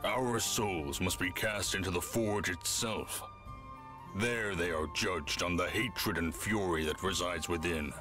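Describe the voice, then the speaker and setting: A solemn orc warrior acted by a man, weary and ready for death, speaks in a deep, low register. His archaic game dialogue comes slowly and deliberately over music. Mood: grim, solemn. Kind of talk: fantasy game dialogue acting.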